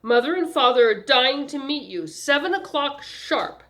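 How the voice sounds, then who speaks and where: Lady reading as rich young society gal, super gushy and fake-sweet, high swoopy voice, storybook style. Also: lively intonation, moderate pace, sharp tone. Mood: insistent, adoring. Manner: artificial, theatrical, cheerful endearments. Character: gushing, affected young society woman in love.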